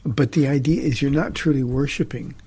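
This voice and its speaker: Earnest pastor, mellow husky man. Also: medium pitch, steady tone. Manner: earnest, halting, with many restarts. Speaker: pastor and show host. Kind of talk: conversational Bible teaching.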